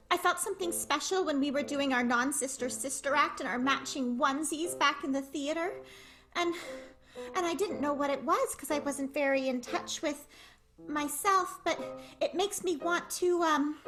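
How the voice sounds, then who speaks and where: A woman playing a shy, lovestruck vaudeville performer in an improvised radio play, halting and stammering. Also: sits high, fairly bright tone. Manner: hesitant, stammering.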